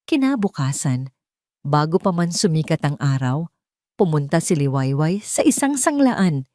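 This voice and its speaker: A woman narrating an emotional drama story in a measured, scripted way. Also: sits low, sing-song, mellow, no echo, studio quality.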